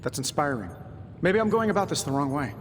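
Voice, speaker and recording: Sarcastic genius hero, wry, sardonic, game dialogue, long reverb, low rumble. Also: male voice, big pitch swings, a little fast, fairly bright tone. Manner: wry, sardonic, talks to himself. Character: wry, sleepless genius superhero man, sarcastic. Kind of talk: video game dialogue acting.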